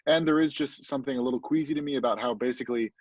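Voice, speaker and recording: A man's voice: medium pitch, racing, dry recording. A man reflecting casually and a little hesitantly as a theatre panelist on a video call. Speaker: theatre actor and deviser, panelist. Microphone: video call, close dry sound. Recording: slightly dull, dry video-call audio.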